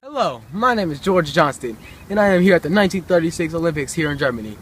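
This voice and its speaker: A man's voice: a little high, animated, quick pace, fairly bright tone, slight background noise. A young man reading scripted news as an anchor and reporter.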